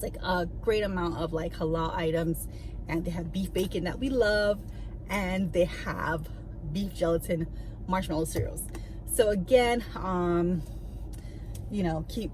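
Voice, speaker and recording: A young woman with a raspy voice chatting casually with many fillers for her vlog while out driving and shopping. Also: lowish voice. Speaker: vlogger, stay-at-home mom. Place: in a car on the road, then in stores. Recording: loud car and road noise. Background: traffic and car noise.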